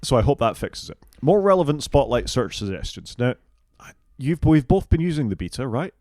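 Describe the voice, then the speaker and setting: A British man co-hosting a casual tech podcast, going through a list of features and giving quick, opinionated takes. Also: mid-range voice, big pitch swings, a little mellow, dry recording, studio quality. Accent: British English. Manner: fast-talking, opinionated, goes down the list item by item. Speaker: podcast co-host.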